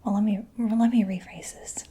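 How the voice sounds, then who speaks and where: A woman whispering in a breathy, gentle, unhurried murmur for an ASMR roleplay, close to the microphone. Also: medium pitch, steady tone. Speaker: ASMR creator playing a robot mechanic. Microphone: close-up sensitive microphone. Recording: close-up whisper with clear background noise.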